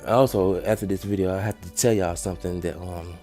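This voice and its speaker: Low-voiced man in a casual, slangy reaction video. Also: fairly even intonation, fairly quick, warm tone, faint music behind. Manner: loose slangy talk with swearing and repeated fillers.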